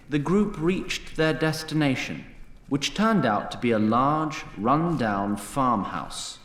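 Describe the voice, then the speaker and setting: Male fantasy narrator: deliberate, dryly humorous, mid-range voice with animated intonation. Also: mid-range voice, roomy sound. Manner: wry, deliberate. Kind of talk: scripted storybook narration of a fantasy audio drama.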